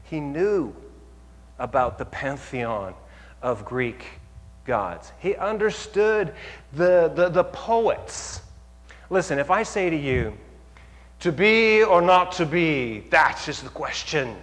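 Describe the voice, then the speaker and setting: A male pastor giving an animated sermon, asking the audience questions and rising to near-shouts to make his points. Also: fairly high pitch. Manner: asks the audience rhetorical questions. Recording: long hall reverb.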